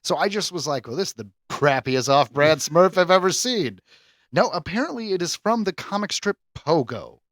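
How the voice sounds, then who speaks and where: A man's voice: a little high, lively intonation, moderate pace, fairly bright tone, no echo, clean studio sound. A man hosting a pop culture quiz on a podcast in a casual, chatty style, announcing questions and verdicts theatrically. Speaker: podcast host and quizmaster.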